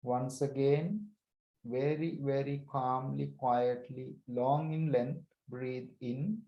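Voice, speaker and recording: A man leading a guided breathing meditation as a teacher, speaking calmly and deliberately in short phrases with pauses. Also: mid-range voice, highs cut off. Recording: roomy echo.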